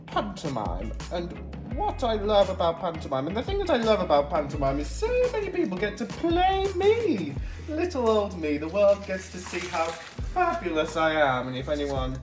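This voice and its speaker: A woman performs a haughty, scatty comedy queen in an echoey room with music behind. The voice sits low for a woman and swings about theatrically, full of pompous self-regard. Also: very animated, moderate pace. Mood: haughty, self-adoring. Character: imperious, vain pantomime queen fond of beheading. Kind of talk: comic character cooking show.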